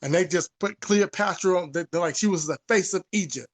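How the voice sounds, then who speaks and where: A man's voice: high voice, fairly quick. A man talking casually and informally about history, repeating phrases for emphasis and swearing now and then.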